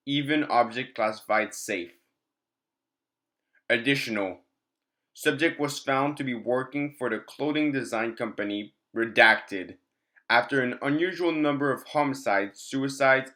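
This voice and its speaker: A man with a mid-range voice narrates a scripted document. His reading is formal and measured, in the style of a clinical report read aloud. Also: medium pitch, a bit bright.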